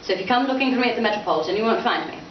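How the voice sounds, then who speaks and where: A woman's voice: on the high side, expressive, very fast, far from the mic, lightly acted. A woman voicing a stiff, exasperated grown son, a Victorian policeman, with dry restraint at a live book reading. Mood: dismissive, bitter. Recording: muffled, echoey, noisy room from afar.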